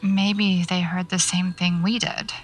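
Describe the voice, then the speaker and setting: A woman's voice: on the low side, level tone, slow, soft background music. An actress playing a dry, sardonic, preoccupied woman novelist in an audio drama. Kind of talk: naturalistic audio drama dialogue.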